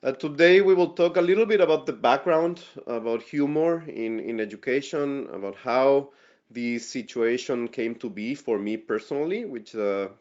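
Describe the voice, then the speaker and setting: A man's voice: mid-range voice, animated, moderate pace, a little mellow. A man from Ecuador giving a friendly webinar presentation as a guest lecturer, often repeating words while he thinks. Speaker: guest speaker, forestry lecturer. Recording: dull lo-fi webinar audio.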